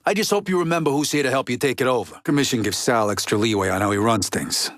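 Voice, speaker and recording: A gruff American mobster from a video game, voiced by a male actor, speaking in a medium register. He is blunt, streetwise and resentful, with profanity worked into his talk. The recording is dry, with no room around the voice. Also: medium pitch, fast, fairly bright tone, no room sound, close to an everyday voice. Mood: wry, cynical. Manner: blunt, streetwise, heavy swearing.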